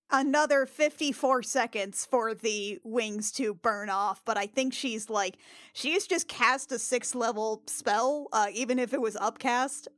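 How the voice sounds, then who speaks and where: A woman's voice: fairly high pitch, fairly bright tone, no room sound. A woman roleplaying casually as a tabletop game player, choosing her words with thoughtful hesitation.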